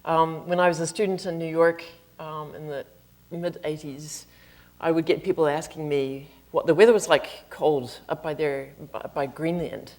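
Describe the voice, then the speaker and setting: Woman, New Zealand accent, middle-aged, low pitch, chatty lecture in an auditorium. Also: fairly even intonation, moderate pace, a little mellow. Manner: chatty, self-deprecating, frequent ums. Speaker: guest speaker, flute professor. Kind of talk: lecture to an audience. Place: campus auditorium.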